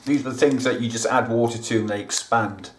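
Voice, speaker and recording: A man's voice: mid-range voice, fairly even intonation, a little fast, a little hiss or hum. Chatty man showing plants. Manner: chatty, plain-spoken, talks to viewers directly. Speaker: gardening channel host. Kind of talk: casual how-to vlog. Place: indoors at home, kitchen counter.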